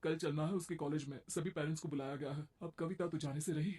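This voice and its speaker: A man's voice: on the high side, fairly even intonation, fast talker, dark tone. A man playing a reproachful but conciliatory middle-aged father in a moral-story cartoon, chiding wearily. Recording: clear background noise.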